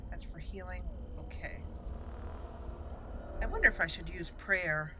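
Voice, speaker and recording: A woman's voice: on the low side, unhurried, background noise. Chatty woman narrating her gameplay. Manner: chatty, excitable reactions, talks to party members. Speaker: let's play host. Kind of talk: casual gameplay commentary.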